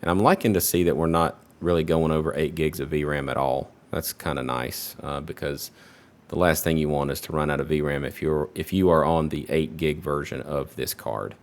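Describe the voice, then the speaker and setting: Very deep-voiced man, relaxed and rambling. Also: normal speed. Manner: relaxed, rambling, many fillers. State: recovering from a virus, voice slightly off. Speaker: PC hardware benchmark creator. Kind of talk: casual gameplay benchmark commentary.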